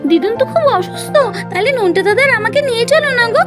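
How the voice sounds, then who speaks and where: A woman's voice: very high-pitched, expressive, rapid-fire. A woman voicing a little girl in a doll cartoon, whining and pleading for food. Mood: whiny. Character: little girl Priya, whiny and hungry. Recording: with background music.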